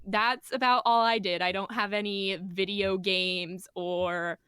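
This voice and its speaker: A young woman with a bright, clear voice talks casually about her hobby voice work. She is chatty and pauses with fillers now and then. The sound is close and dry. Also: medium pitch, fairly even intonation, dry recording. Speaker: hobby voice actor panelist. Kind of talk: casual panel chat.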